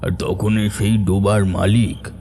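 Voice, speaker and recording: A man narrating a scripted first-person story in a deliberate, suspenseful way. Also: fairly low pitch, flat delivery, noisy background.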